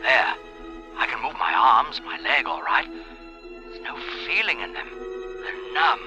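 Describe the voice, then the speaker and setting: A man's voice: medium pitch, very animated, dull audio, music in the background. An actor playing a weary, fatalistic man in his fifties, brooding aloud in a noisy 1940s radio drama. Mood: bitter, grim. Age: 50s. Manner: brooding, dramatic, haltingly musing. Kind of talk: 1940s radio drama acting, interior monologue. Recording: noisy, hissy 1940s radio broadcast recording.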